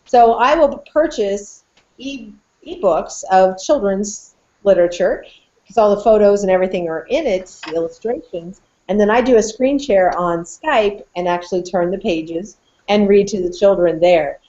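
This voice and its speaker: A woman's voice: medium pitch, normal speed, warm tone. Woman on a dull video-call recording. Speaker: podcast co-host and educator. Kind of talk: casual chat with tool demonstration. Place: small indoor office, video call. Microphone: computer microphone on video call.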